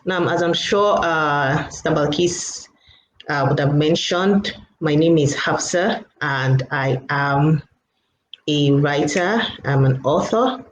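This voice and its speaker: A woman's voice: deep voice, slow pace. A woman from Lagos State, Nigeria, a writer and writing coach, talking casually as a guest with many fillers and laughs. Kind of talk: casual interview chat. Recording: echoey room, faint background noise.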